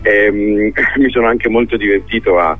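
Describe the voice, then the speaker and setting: Over a thin, telephone-like connection comes a man with a low-pitched voice. He talks conversationally as a theatre director interviewed on radio, often hesitating as he searches for the right words. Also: moderate pace. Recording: thin phone-like radio line.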